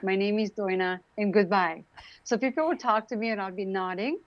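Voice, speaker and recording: A woman's voice: mid-range voice, brisk, no echo. Guest: woman, late 40s, Romanian accent, chatty with fillers, dry dull video-call sound. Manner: warm, chatty, laughs often, many fillers. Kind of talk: casual interview talk.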